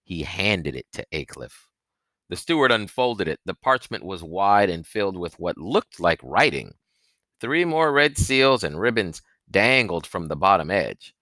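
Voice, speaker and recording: A man narrating an audiobook in an expressive, suspenseful, dramatic storytelling style. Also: deep voice, measured pace, no echo.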